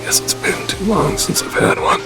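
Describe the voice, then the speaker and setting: A man's voice: moderate pace, natural voice. A man voicing a world-weary, stoic mafioso smoker in an anime fan dub radio play, terse and brooding. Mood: weary, resigned. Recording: loud music behind the voice.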